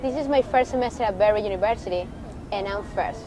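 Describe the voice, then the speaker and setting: A woman's voice: mid-range voice, quick pace. Young woman from Venezuela; earnest, encouraging student testimonial, traffic noise around her. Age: young adult. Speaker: university student. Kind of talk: personal testimonial. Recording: outdoor street noise.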